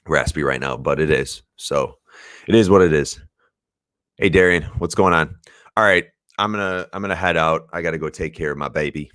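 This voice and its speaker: A young man with a raspy voice, chatting casually as host of a comics livestream, rambling and laid-back with some swearing. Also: rumbling low, fairly bright tone, no room sound, clean studio sound. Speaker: podcast host.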